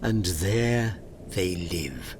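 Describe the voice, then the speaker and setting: A man's voice: deep voice, expressive, extremely slow, background noise. A man narrating a fairy tale as a dramatic, scripted storyteller.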